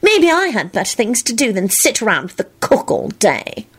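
A woman voicing a spoiled, petulant young woman who gushes and whines in a breathy voice. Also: fairly high pitch, sing-song, normal speed, a bit bright, pitched above the performer's own voice, exaggerated voice.

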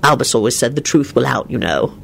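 A woman voicing a calm but awkward and defiant man in a story, dry and given to mumbling. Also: a bass voice, fairly quick, vocal fry, a little hiss or hum, pitched below the performer's own voice, heavily acted. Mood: modest.

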